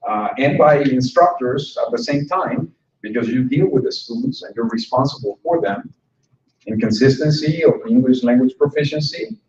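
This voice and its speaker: A man's voice: medium pitch, a little mellow. A man giving a conversational conference presentation to instructors, joking with the audience now and then. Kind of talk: conference talk. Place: conference room. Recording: roomy hall sound from a distant mic, dull and slightly noisy.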